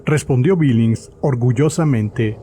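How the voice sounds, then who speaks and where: A man's voice: medium pitch, unhurried, mellow, a little hiss or hum. A man narrating a scripted horror story as the narrator of an audio drama.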